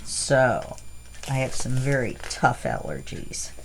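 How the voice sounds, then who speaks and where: A woman's voice: rumbling low, wildly swooping pitch, extremely slow, noisy background. A middle-aged woman hosting a casual crafting unboxing, chatty and rambling with fillers, her voice stuffy from allergies. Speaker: crafting channel host.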